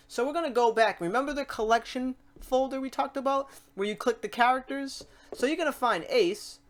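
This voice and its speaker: A woman hosting a casual software tutorial, talking through each step aloud in an informal, playful way. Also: on the high side, a little hiss or hum. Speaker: tutorial host.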